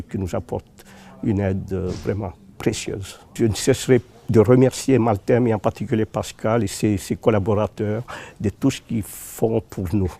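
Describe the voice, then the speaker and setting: A man from Madagascar speaks as a charity president in an informal testimonial. His voice is fairly low, dark and full of fry, and he hesitantly repeats words while searching for the next.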